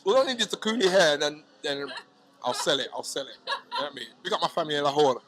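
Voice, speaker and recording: A man chatting casually as a hip hop artist guest, animated and slangy, often asking if you know what he's saying. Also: fairly high pitch, fast, background noise. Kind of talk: casual podcast chat.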